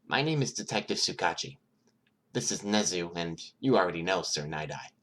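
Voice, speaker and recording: A careful male police detective from an audiobook, played by the narrator. He asks his questions gently and softly, in a measured way. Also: sits low, animated. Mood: gentle, probing. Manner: soft-spoken, measured questioning. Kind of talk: acted audiobook dialogue.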